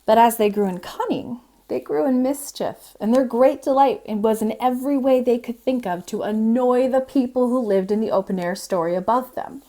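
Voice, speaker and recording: A woman reading a storybook aloud as a librarian host, in an expressive, measured storytelling manner. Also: fairly high pitch. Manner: expressive, measured storytelling with brief informal asides.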